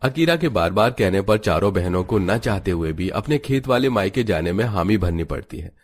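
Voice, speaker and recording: A man narrating a cartoon story in a steady, explanatory, scripted way. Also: low-pitched, moderate pace, faint music behind.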